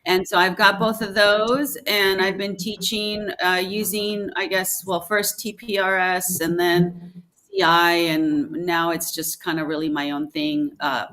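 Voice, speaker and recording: Guest spot: woman raised in Mexico, Spanish first language, sharp bright tone, animated teacher telling stories. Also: fairly low pitch, a little slow, rough recording. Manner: assertive, storytelling, acts out classroom exchanges. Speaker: high school French teacher, guest. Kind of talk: casual conversational interview.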